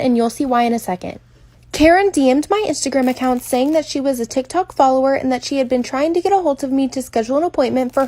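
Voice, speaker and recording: A woman's voice: high-pitched, darkish tone, no echo. A woman giving a scripted storytime narration in an orderly, carefully worded, explanatory way. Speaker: makeup artist telling client stories.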